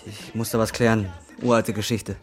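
A man's voice: lowish voice, flat delivery, fairly quick, faint music behind, lightly acted. An actor in a radio drama playing a desperate, bitter young man in his twenties, slangy and foul-mouthed. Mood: pleading, tense. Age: 20s. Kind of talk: radio drama acting and narration.

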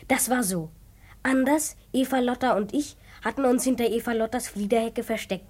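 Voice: medium pitch, a little mellow, natural voice. A performer playing a boastful, earnest twelve-year-old boy who dreams of detective work, self-important and emphatic, in a children's radio play.

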